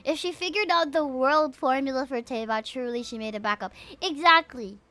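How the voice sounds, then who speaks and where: A woman livestreaming a game and chatting with viewers in an animated, emphatic, gushing way, with music behind her. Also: high voice, moderate pace, dry recording. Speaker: game streamer. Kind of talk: livestream chat reacting to a game.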